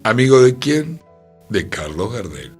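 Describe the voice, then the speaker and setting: A man's voice: medium pitch, big pitch swings, a little slow, darkish tone, breathy edge. A man with an Argentine accent hosting a radio talk on culture in a musing, conversational manner. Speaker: radio host. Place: radio studio. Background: music.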